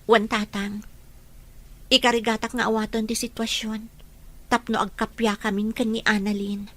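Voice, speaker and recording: Woman acting earnest, higher-voiced Ilocano young woman. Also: level tone, normal speed, a bit bright. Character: earnest, sentimental young woman seeking to reconcile with friend. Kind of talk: Ilocano audio drama acting.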